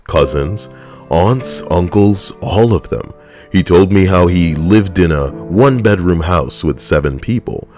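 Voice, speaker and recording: A man's voice: low voice, darkish tone. A young adult man, a military veteran, telling a personal story in a blunt, profane, conversational way.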